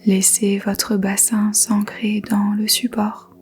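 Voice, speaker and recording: A woman teaching yoga nidra, speaking softly in a whispery voice and giving slow, gentle meditation instructions with long pauses. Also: mid-range voice, little pitch movement, soft background music. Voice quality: soft whispery voice. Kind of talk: guided meditation.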